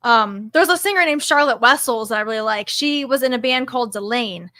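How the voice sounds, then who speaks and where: A woman guest musician chatting casually, excitable and gushing, full of "like" and "oh my gosh". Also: a little high, brisk, no room sound, clean studio sound. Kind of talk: casual chat.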